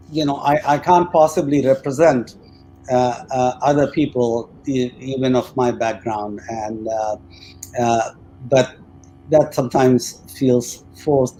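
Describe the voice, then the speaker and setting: A man who grew up in India, speaking hesitantly with frequent pauses as a participant in a panel discussion. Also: medium pitch, warm tone, low-quality recording. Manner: hesitant, with many "uh" fillers and restarts. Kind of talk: personal reflection in a panel discussion.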